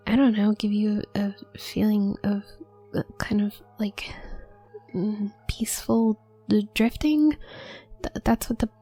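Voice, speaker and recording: A shy young witch student, played by a woman for an intimate audio roleplay, speaks slowly in a soft, mellow voice that often sinks toward a whisper. She stutters nervously and hesitates, anxious and apologetic, over background music. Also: mid-range voice, breathy edge. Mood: hesitant, awkward.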